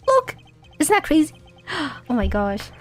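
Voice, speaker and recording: A woman streaming a game, her voice high but going hoarse after a long session. She chatters, giggles and makes crude jokes. Soft music runs in the background. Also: wildly swooping pitch, a little slow. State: hoarse, voice tiring. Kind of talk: casual gaming stream chat.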